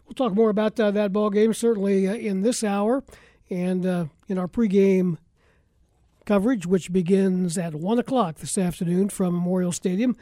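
A man's voice: sits high, fairly even intonation, moderate pace, mellow, no room sound, clean studio sound. A man with an American accent hosting a sports talk show on the radio in a casual, conversational style. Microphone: close studio broadcast mic.

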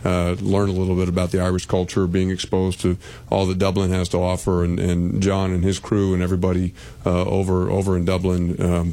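Deep, flat-voiced man. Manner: measured, steady, with fillers. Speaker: university athletic director. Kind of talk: radio interview answers.

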